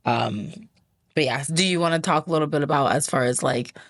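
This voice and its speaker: A woman's voice: deep voice, brisk, no echo, clean studio sound. A woman hosting a casual podcast, talking in a chatty, rambling way with many fillers. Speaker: podcast host. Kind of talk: casual interview talk.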